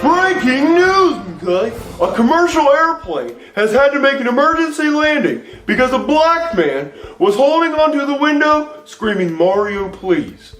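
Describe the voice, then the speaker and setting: A man playing an excitable male breaking-news anchor in a comedy skit, delivering mock-serious bulletins with drawn-out words. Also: very high voice, heavily acted. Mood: mock urgent. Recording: roomy echo with faint music.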